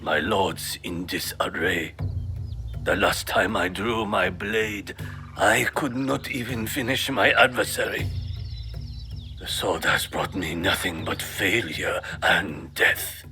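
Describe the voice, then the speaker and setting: A man's voice: low voice, takes it slowly, pushed and bright, soft background music, exaggerated voice. An actor playing a weary, grieving master swordsman in a game cutscene, grave and curt. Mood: weary, bitter. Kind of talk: dramatic game cutscene acting.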